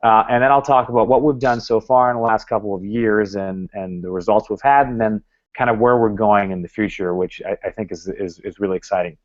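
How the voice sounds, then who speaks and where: Man, guest speaker, low-ish voice, rambling delivery full of restarts, dull recording. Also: fairly even intonation, a little fast. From Canada. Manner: rambling, many restarts and fillers. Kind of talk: talk to a club.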